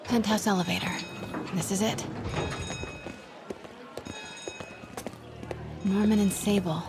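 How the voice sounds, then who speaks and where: A woman's voice: medium pitch, fairly even intonation, brisk, close to an everyday voice. Young female reporter turned amateur spy, played by an actress, game dialogue, determined, murmured and whispery, music behind. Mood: wry, stealthy. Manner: murmuring to herself, often dropping to whispers. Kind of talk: video game voice acting. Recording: background music under the voice.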